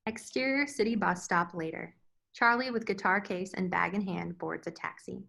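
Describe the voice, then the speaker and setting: A woman narrates a screenplay's stage directions. Her voice is medium in pitch. She delivers it as scripted narration at a table read. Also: normal speed, creaky, sharp tone, rough recording.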